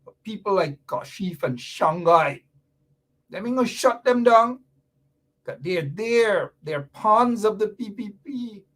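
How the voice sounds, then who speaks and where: Slow, sarcastic Guyanese radio host. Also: male voice, high voice, lively intonation, fairly bright tone. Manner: sarcastic, mocking, repetitive emphasis. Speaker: radio talk show host. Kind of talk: fiery political commentary.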